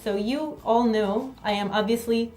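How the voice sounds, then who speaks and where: A woman teaching a grammar lesson while sitting in front of her camera, speaking clearly and deliberately. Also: fairly high pitch, fairly even intonation, darkish tone. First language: not Korean. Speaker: language teacher. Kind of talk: explaining to camera. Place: sitting indoors. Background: faint background music.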